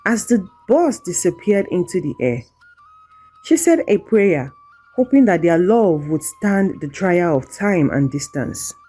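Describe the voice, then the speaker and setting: A woman narrating a scripted folktale in a measured, dramatic storytelling manner. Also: fairly low pitch, sing-song, dark tone, slightly rough, faint music behind.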